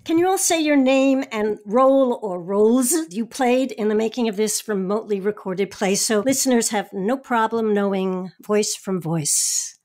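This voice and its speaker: A woman's voice: mid-range voice, normal speed, few pauses, darkish tone. A woman hosting an audio theatre show, reading credits and questions in a scripted style. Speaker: host. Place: remote recording.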